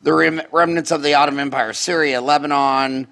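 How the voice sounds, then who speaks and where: A man's voice: fairly high pitch, fairly even intonation, brisk, gravelly, bright, forceful tone. A middle-aged American man hosting a casual interview in a folksy, rambling way, often restarting his questions. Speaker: podcast host, dentist.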